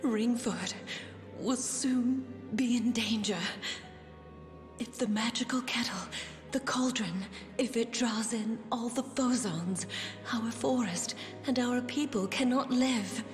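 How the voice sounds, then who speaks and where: A woman voicing a dying fairy queen mother in a video game, solemn and tender, speaking slowly in hushed, breathy whispers with regal, archaic phrasing. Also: a little high. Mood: grave, urgent. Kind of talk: dramatic video game voice acting. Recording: echoing reverb under game music.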